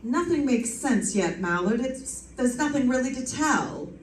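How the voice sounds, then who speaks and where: A woman's voice: sits high, animated, a little slow. A woman playing a wisecracking, breezy female private detective, wry and playful, in 1940s radio drama style. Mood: coaxing. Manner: wry, playful, theatrical delivery. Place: live stage performance in a room. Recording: roomy stage, distant mic, music behind.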